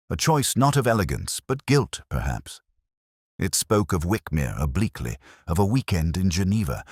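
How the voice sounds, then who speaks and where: Guy playing a weary detective telling his case, super low voice, lots of rise and fall, wry and bookish, dry close sound. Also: dry recording, exaggerated voice. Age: middle-aged. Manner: measured, wry, reflective, literary. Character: world-weary, wry, literary English male detective narrating his case. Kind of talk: scripted first-person mystery narration.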